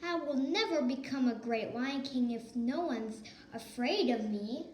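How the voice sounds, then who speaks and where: A woman's voice: sits high, a little slow, darkish tone, slight background noise. A woman voicing a discouraged, sulky young male lion cub in a read-aloud storybook, in an echoey room. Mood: sulky, dejected.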